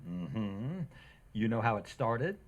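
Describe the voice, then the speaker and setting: A woman's voice: a bass voice, big pitch swings, slow pace, full character voice. A woman playing an oblivious, teasing husband in an old-time radio comedy, with a dry delivery.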